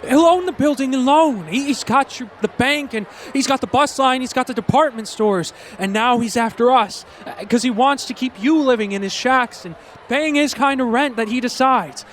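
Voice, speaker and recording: A man's voice: very high voice, moderate pace, a little hiss or hum. An actor in a radio play voicing an earnest, flustered young small-town businessman who stammers and pleads. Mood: urgent, pleading. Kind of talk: old-time radio play acting.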